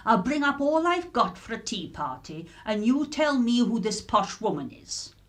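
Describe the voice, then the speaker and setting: A woman's voice: on the high side, big pitch swings, moderate pace. An actress playing a nosy, chatty working-class English woman who puts on airs, in a radio drama. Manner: gossipy, colloquial, affected politeness.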